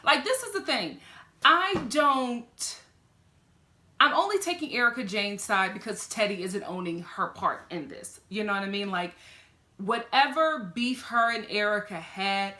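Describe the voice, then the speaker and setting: A young woman with a fairly deep voice giving an animated, sassy reaction review, talking straight at the people she watches. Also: a little slow, echoey room. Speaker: reality TV reviewer. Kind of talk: casual reaction commentary.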